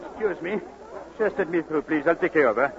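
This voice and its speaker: An actor with a French accent playing a suave, excitable, gallant playwright in 1940s radio comedy style. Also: male voice, on the high side, fairly quick, over a noisy crowd, heavy background noise. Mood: urgent, gallant. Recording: dull vintage radio recording.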